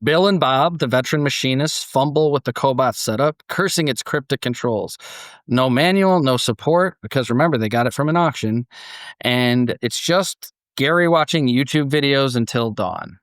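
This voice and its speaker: A man's voice: medium pitch, expressive, moderate pace, no echo. Man podcast host, dry close sound. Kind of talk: casual chat mixed with scripted story narration.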